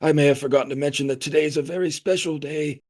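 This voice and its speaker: Guy acting as pompous middle-aged boss of a creepy manor, kinda high voice, theatrical and rambly, radio play style. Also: fairly even intonation, fast, a little mellow, close to an everyday voice. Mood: self-important. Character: pompous, nostalgic male leader of a secretive manor group.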